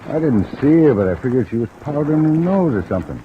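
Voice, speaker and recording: A man's voice: medium pitch, quick pace, natural voice. An American man playing a jaded, defensive private detective in an old-time radio drama, blunt and offhand. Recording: muffled, noisy vintage radio recording. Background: steady rain.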